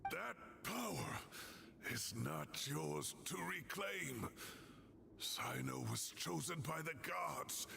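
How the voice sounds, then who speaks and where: A man voicing an anguished, earnest scholar in a video game, pleading deliberately. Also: a little high, expressive, vocal fry, noisy background, exaggerated voice. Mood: grave, protesting. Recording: loud dramatic music under the voice.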